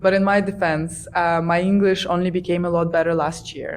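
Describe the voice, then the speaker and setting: A woman's voice: fairly low pitch, fairly even intonation, fast. A young woman with a Ukrainian accent, chatting candidly as a podcast guest, with plenty of fillers. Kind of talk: casual podcast chat. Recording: faint background noise.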